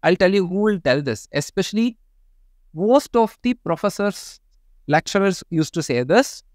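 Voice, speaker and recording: Indian-English man, thirties, high voice, tech vlogger, dead-dry close mic. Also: moderate pace, dry recording. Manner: animated, gestures a lot while explaining. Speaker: tech YouTuber. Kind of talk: casual talk to camera. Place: small home studio room.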